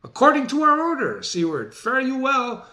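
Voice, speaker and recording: A man's voice: extremely high pitch, wildly swooping pitch, moderate pace, sharp tone, echoey room, voice pushed up, exaggerated voice. A man playing a commanding young army leader in a Shakespeare play, rallying troops in a rousing, declamatory way. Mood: resolute, rousing. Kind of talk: dramatic amateur Shakespeare reading.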